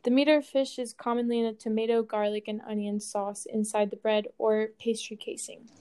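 A young woman from Colombia co-hosts a podcast and reads from a prepared script. Her voice sits a little high, with a warm, mellow tone and an upbeat delivery. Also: flat delivery, normal speed, clear, resonant tone. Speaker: podcast co-host.

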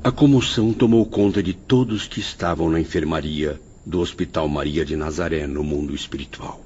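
A man's voice: rumbling low, moderate pace, a little mellow, husky. A man giving solemn, literary narration for a radio drama. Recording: dull recording, faint music behind.

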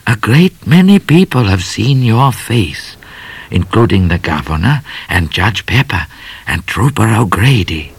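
A man voicing a stern, wise, cold-hearted cat in a storybook, speaking gravely and slowly. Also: lowish voice, pitched above the performer's own voice, full character voice. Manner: grave, serious, slow.

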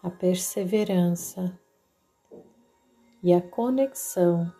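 A woman with a Brazilian accent narrating a guided meditation in a soothing, deliberate way, leaving long pauses. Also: fairly low pitch, dark tone. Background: background music.

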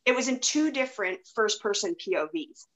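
A woman's voice: medium pitch, lively intonation, brisk, fairly bright tone. A woman hosting a podcast in a casual, chatty, anecdotal way. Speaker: podcast host. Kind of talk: casual chat. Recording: slightly dull, lo-fi microphone.

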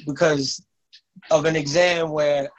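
Guest artist, man from New York, laid-back and conversational, a little higher-pitched. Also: slow, highs cut off. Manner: relaxed, conversational, with "you know what I'm saying" asides. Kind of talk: casual self-introduction.

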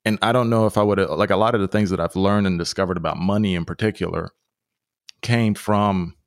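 A man in his thirties hosting an interview, relaxed and conversational, with lots of filler words. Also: sits low, fairly quick, no room sound, studio quality. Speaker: podcast host. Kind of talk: casual interview.

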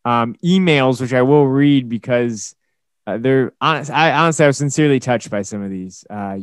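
Tired, slightly hoarse male podcast host. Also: mid-range voice, normal speed, dry recording, studio quality. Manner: rambling, many fillers and restarts. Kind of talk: casual solo podcast talk.

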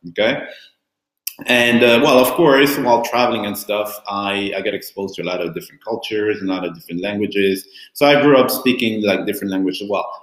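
A man's voice: fairly low pitch, a little fast. A man whose native languages are French and Italian, speaking English and telling his life story in a candid, rambling way with many restarts and fillers. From born in Cameroon, raised in Italy and France. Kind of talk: casual personal storytelling. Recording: roomy echo.

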